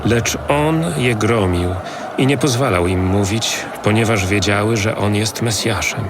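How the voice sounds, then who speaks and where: A man narrating the Gospel in a measured, calm scripted reading. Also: on the low side, noisy background.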